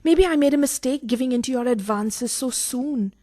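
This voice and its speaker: A woman's voice: high voice, moderate pace, dark tone, natural voice. A woman acting a nervous, guilt-ridden married mistress in a radio farce, fretful and melodramatic. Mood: guilty, petulant. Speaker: radio play actor. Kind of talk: farcical radio play acting.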